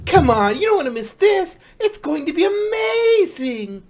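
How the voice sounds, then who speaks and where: A woman voicing an excitable young cartoon pony mare, chirpy, eager and emphatic. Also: extremely high pitch, unhurried, voice pushed up, full character voice. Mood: eager, coaxing.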